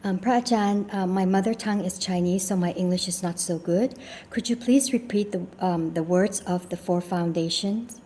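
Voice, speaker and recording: A woman moderating a talk on a video call, reading out questions in a somewhat halting way. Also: medium pitch, dark tone. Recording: video call with faint background noise.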